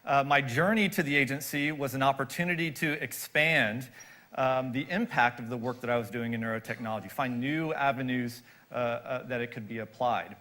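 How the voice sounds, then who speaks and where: A man's voice: medium pitch, moderate pace, bright, forceful tone. Male research director presenting, conversational delivery. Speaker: government research agency director giving a talk. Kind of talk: public presentation.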